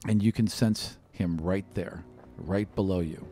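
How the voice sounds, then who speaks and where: Man, low pitch, game master, improvised tabletop narration, conversational delivery. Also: a little slow, mellow, faint music behind. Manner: conversational, loosely improvised descriptions.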